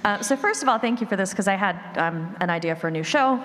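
A woman asks a casual question as an audience member at a panel. She speaks quickly, and her voice echoes in the hall over faint noise. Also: medium pitch, animated, a bit bright.

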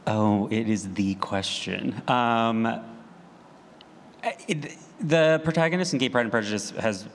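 A man's voice: mid-range voice, unhurried, a little hiss or hum. A man giving a thoughtful answer as a writer on a panel. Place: large reverberant hall at a live panel. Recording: echoey hall reverb.

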